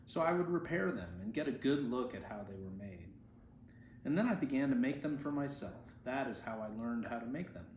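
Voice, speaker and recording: A man's voice: medium pitch, lively intonation, normal speed, darkish tone, close to an everyday voice. Man reading as an aged monk, echoey room. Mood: reminiscing. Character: aged monk in his seventies, chatty, humble basket weaver. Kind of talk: storybook reading of quoted speech. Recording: roomy echo, faint background noise.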